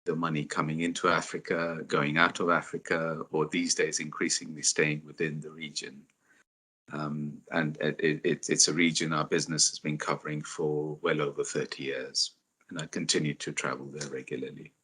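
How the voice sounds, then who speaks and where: A man from Uganda, introducing himself on a panel in a measured way, with frequent fillers. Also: a bass voice, flat delivery, pushed and bright, breathy edge. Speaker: fiduciary business executive.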